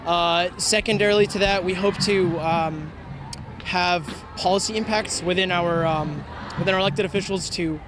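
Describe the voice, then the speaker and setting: A man answering interview questions in a measured way, pausing to find his words. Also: high voice, fairly even intonation. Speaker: protester being interviewed. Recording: noisy outdoor street with traffic.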